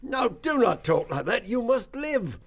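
A man's voice: very high voice, sing-song, unhurried, slightly rough, heavily acted. A man voicing an anxious, loyal shrew follower in a storybook, urgent and pleading.

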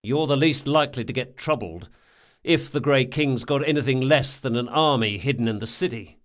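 A man voicing a shrewd male leader of thieves in an audiobook, giving brisk, emphatic orders. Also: medium pitch, lightly acted. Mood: decisive.

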